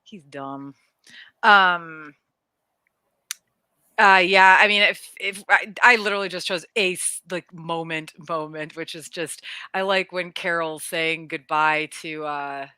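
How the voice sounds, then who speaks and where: A woman co-hosting a podcast, chatting casually about TV in a halting, rambling way that often repeats words. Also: fairly low pitch, bright, forceful tone, clean tone, dry recording. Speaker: podcast host. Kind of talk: casual chat about a TV show.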